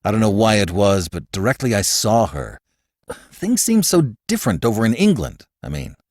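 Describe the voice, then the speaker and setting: A male reader plays a harassed young man in a storybook, gloomy and irritable with a fairly low voice. His speech rises into exasperated bursts and sinks back into sulking. The sound is close and dry. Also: very animated, normal speed, a little mellow, dry recording. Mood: brooding. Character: harassed, moody young man in a romantic fix. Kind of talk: audiobook character voice.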